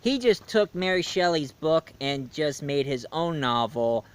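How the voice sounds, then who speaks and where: Guy rambling thru a movie review, kinda high voice, lots of ums and restarts, gets loud then mumbles. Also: expressive, unhurried, clear, resonant tone. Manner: rambling with many fillers and restarts; swings between loud bursts and quiet asides. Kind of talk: casual spoken movie review.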